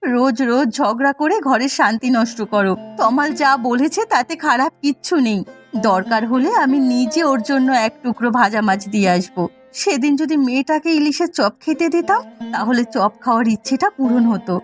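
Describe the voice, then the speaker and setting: Woman acting a high-voiced, indignant mother. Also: lively intonation, fairly quick, soft background music. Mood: indignant, firm. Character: indignant mother defending her young son. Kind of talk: Bengali cartoon voice acting.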